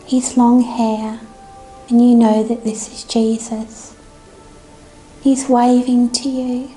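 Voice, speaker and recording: A woman leading a guided meditation in a gentle, soothing, unhurried manner. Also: fairly high pitch, little pitch movement, low-quality recording. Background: music.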